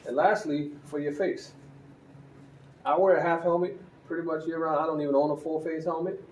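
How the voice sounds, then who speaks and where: A man's voice: on the high side, fairly even intonation, normal speed, darkish tone, far from the mic, slight background noise. A man giving a casual, conversational gear review as a motorcycle vlogger, with easy fillers and restarts. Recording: roomy, from a bit off the mic, faint ambience.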